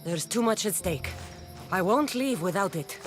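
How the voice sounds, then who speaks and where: A woman's voice: medium pitch, animated, normal speed, faint music behind, natural voice. A woman playing a bold, determined young mercenary from antiquity in a video game, earnest and direct.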